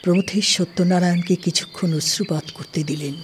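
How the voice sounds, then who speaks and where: A woman narrating a scripted literary story in a deliberate, formal storytelling manner. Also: fairly low pitch, steady tone, a little hiss or hum. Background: soft plucked-string music.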